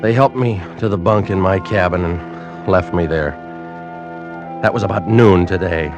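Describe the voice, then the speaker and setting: An actor playing a weary, injured ship's officer who narrates his ordeal gravely in a classic radio drama. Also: deep voice, measured pace, highs cut off. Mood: grave dread. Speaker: actor, first-person narrator. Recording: vintage radio drama with music.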